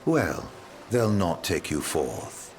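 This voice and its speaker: An actor playing a suave, enigmatic traveling man in a video game, with an arch, theatrical, unhurried delivery. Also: deep voice, big pitch swings, a little mellow, slight background noise, heavily acted. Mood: sly, teasing. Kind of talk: video game cutscene acting.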